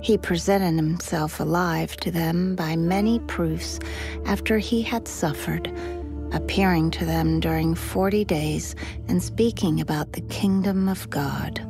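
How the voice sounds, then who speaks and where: Casting: female scripture narrator, lowish pitch, unhurried reading, music underneath. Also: gravelly. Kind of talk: scripted audio Bible narration.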